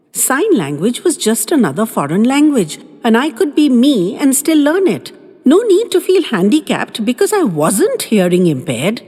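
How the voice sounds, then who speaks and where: A woman narrates a first-person love story with a breathy, slightly husky voice. Her reading is animated and dramatic, with her pitch swinging widely through each sentence. A long reverb trails after her words. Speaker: audiobook narrator.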